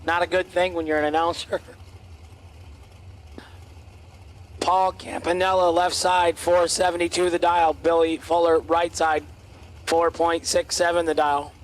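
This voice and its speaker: A man announcing drag races at an outdoor track in clipped, terse calls, his voice going hoarse. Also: on the high side, level tone, unhurried, long pauses. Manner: clipped, terse calls of lanes, dial-ins and reaction times. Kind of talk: race commentary.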